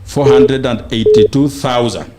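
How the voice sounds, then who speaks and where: A man with a Kenyan accent, a panel guest explaining details haltingly as he searches for words. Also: medium pitch, heavy background noise. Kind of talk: explaining figures in a political discussion.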